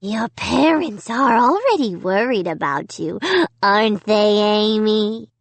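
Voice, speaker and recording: A woman voicing a cruel male ventriloquist dummy in a harsh, cold, whispery rasp, speaking slowly and menacingly in an audiobook. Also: mid-range voice, lively intonation, dry recording. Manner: slow, drawn-out menacing. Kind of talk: audiobook reading.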